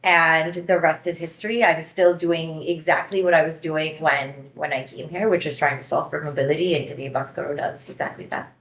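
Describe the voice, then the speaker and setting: A woman's voice: low voice, steady tone, brisk, hardly any pauses, a bit bright, echoey room, low-quality recording. A Pakistani woman in her thirties, a company founder speaking as a podcast guest, candid and direct, often adding "um" and "right?". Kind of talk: conversational podcast interview.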